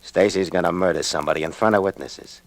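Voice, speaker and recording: A man's voice: sits low, fast, creaky, dry recording. A man playing a ruthless, scheming gang boss who sneers and gives orders, in 1940s radio drama style. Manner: cold, sneering, commanding.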